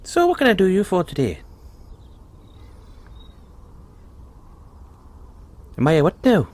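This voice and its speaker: A cheerful leprechaun, played by a man speaks straight to the listener in an audio roleplay. His voice sits a little high and leaps up and down dramatically. He is kindly and a touch sly, with a teasing, folksy way of talking. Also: huge pitch swings, fast talker, darkish tone. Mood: amused, teasing. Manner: chuckling, teasing, folksy talk.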